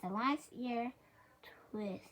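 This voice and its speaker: A woman reading a children's book aloud in a halting, deliberate way. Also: on the high side, fairly bright tone, slight background noise. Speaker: storybook reader.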